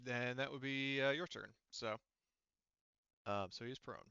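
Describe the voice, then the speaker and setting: A man's voice: mid-range voice, fairly even intonation, measured pace, creaky, sharp tone, smooth, no echo, dull audio. A man acting as game master, patiently explaining the rules of a tabletop roleplaying game step by step.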